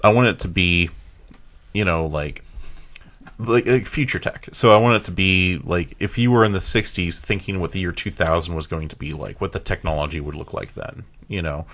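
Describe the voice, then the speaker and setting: A man with a low voice talks as a podcast co-host in loose, informal conversation. He explains ideas in a roundabout way, rephrasing as he goes. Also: normal speed, a bit bright. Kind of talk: casual chat, pitching film ideas.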